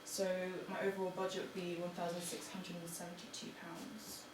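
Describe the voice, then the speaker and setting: A young woman giving an informal student presentation in a halting, hesitant way. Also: fairly low pitch, level tone. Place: room in front of an audience. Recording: echoey, noisy room, distant mic.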